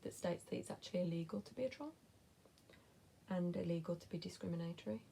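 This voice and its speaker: Gravelly West Midlands woman, chatty vlogger. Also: lowish voice, fast, dark tone, a little hiss or hum. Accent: West Midlands English. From England. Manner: chatty, blunt, drops to whispered asides. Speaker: vlogger answering viewer questions. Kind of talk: casual chat to camera.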